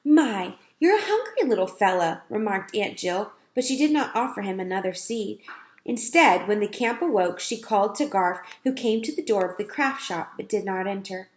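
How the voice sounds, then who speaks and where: A woman reading a children's storybook aloud as narrator, with expressive, emphatic delivery. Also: medium pitch, moderate pace. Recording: roomy, echoing sound.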